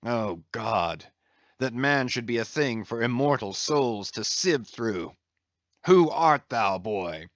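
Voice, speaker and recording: A man's voice: medium pitch, lively intonation, slow pace, dry recording. A man playing a brooding, half-mad old whaling captain in a dramatised sea story, commanding and declaiming in grand archaic speech. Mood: tender, anguished. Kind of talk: dramatised classic sea novel reading.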